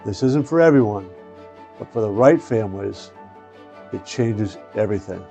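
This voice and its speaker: An older man speaking as a show host and coach, with an earnest, deliberate way of talking. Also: fairly low pitch, lively intonation, gravelly, dark tone, faint music behind. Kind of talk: scripted promo and introduction, then casual interviewing.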